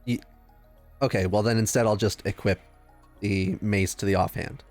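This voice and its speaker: A man with a fairly low voice chats through a tabletop game as a player. He thinks aloud in a casual way, over faint background music. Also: steady tone, normal speed, darkish tone. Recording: music under the voice.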